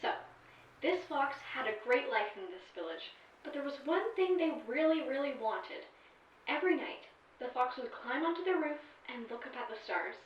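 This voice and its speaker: A woman's voice: high voice, sing-song, moderate pace, slight background noise. A woman voicing a snippy, teasing artificial intelligence companion in an audio drama, with sighs and dramatic emphasis. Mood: storytelling. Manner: sassy, sighing, dramatic emphasis. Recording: echoey, distant, dull-sounding voice.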